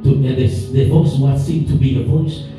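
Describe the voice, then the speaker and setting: A man's voice: medium pitch, fairly even intonation, normal speed. A man preaching a sermon, building repeated declarations that rise in force. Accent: African English. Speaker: pastor. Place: church hall. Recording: echoey hall from far off with music.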